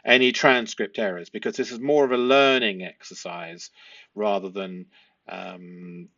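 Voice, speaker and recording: A man explaining a transcript analysis as a host, speaking deliberately and posing rhetorical questions. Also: lowish voice, fairly even intonation, creaky, sharp tone, no room sound, muffled recording. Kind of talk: explanatory analysis of a transcript, part read aloud.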